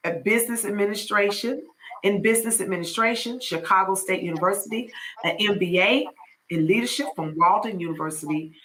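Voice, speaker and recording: A woman's voice: mid-range voice, a bit bright, a little hiss or hum, rough recording. A woman in her fifties hosting a podcast, reading a guest introduction and then chatting playfully and effusively. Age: 50s. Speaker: podcast host.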